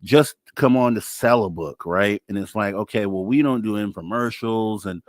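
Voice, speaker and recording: Low-voiced man hosting a talk show. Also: moderate pace, no room sound, clean studio sound. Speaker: radio show host. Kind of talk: casual live talk show interviewing.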